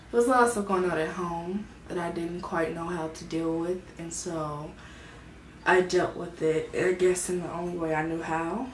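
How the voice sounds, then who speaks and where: A woman, vlogging about her own life in a soft, hesitant way, often searching for words. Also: lowish voice, slight background noise. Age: 20s. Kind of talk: personal confessional vlog. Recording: echoey room, mic at a distance.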